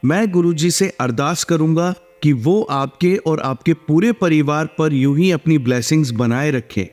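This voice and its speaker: A man's voice: fairly high pitch, unhurried, a little mellow. A man hosting a devotional channel, giving a polite, formal closing address to listeners. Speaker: channel host. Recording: long reverb with music behind.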